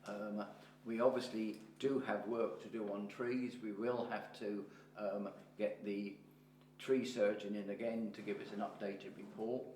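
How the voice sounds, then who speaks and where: A man chairing a parish council meeting, reporting in a halting way with many pauses and restarts. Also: lowish voice, little pitch movement, a little mellow. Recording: roomy hall with faint hum.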